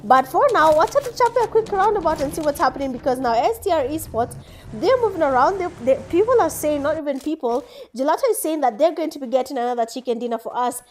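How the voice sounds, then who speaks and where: A woman's voice: high-pitched, lively intonation, fast talker. A young Kenyan woman giving chatty live commentary on an esports match, laughing often and mixing in Swahili. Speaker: esports caster and streamer.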